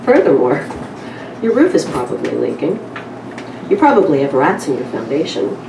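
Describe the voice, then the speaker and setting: A woman's voice: rumbling low, very animated, vocal fry, voice pushed down, full character voice. Woman reading as sly, cruel bill collector, echoey. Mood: sly, accusing. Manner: insinuating, deliberate, drawn-out doom-saying. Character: sly, whispery male bill collector, insinuating and gleefully cruel. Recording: roomy, distant live recording with noise.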